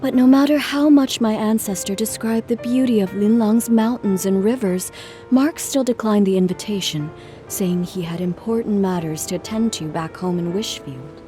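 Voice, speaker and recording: An actress playing a gentle, earnest traveling musician in a video game, speaking politely and in measured phrases. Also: female voice, mid-range voice, soft background music, close to an everyday voice. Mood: wistful, earnest. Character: gentle, earnest female traveling musician, composed but careworn. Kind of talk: video game cutscene acting.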